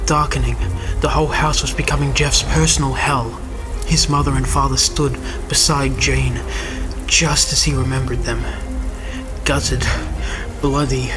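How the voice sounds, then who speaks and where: A man narrates a horror audio drama in a dramatic, scripted style. His voice sits at a medium pitch, with clear music underneath. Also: level tone, measured pace.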